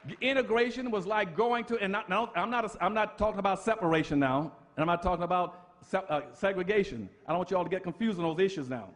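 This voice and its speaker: High-voiced man lecturing with fiery emphasis. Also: quick pace, hardly any pauses. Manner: emphatic, preacher-like, full of rhetorical questions. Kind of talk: impassioned public lecture.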